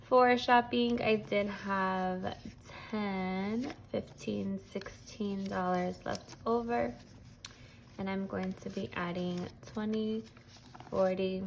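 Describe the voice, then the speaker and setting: A woman with a hoarse, raspy voice from losing it, chatting casually while counting out cash into budget envelopes. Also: mid-range voice, slow. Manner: counts bills aloud in steady rhythm. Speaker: budgeting vlogger. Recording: noisy home recording.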